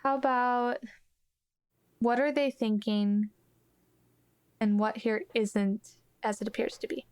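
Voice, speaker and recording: A woman's voice: on the high side, steady tone, slow, long pauses, a little mellow, clean tone. A woman playing in a tabletop roleplaying game, chatting casually about her character and her choices.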